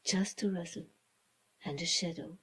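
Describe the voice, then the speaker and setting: A woman reading a children's story as its author, in a dramatic storybook style with hushed, breathy phrases and deliberate pauses. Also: lowish voice, low-quality recording. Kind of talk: slow dramatic storybook narration.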